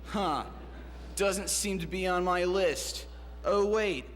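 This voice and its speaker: 男性が演じる のんきな怠け者のドアマン、高めの声. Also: male voice, high voice, slow, slight background noise. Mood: laid-back. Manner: casual, nonchalant slacker talk. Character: breezy, unhelpful slacker doorman, young-sounding dude. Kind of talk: comic radio play acting. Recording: echoey hall with music behind.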